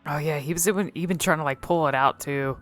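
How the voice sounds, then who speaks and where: A woman giving casual, unscripted game commentary, thinking aloud haltingly and swearing freely. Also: deep voice, a bit bright, soft background music.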